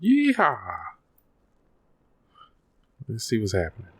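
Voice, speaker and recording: A man giving casual live gameplay commentary, playful and full of excitable exclamations to his teammates. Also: on the low side, slow pace, slightly rough, no room sound, clean studio sound. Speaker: gaming video host.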